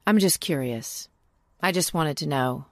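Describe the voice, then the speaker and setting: A woman's voice: sits low, measured pace, dry recording, clean studio sound, pitched below the performer's own voice. A woman voicing a tense, pointed female job interviewer in a story. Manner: clipped, pointed.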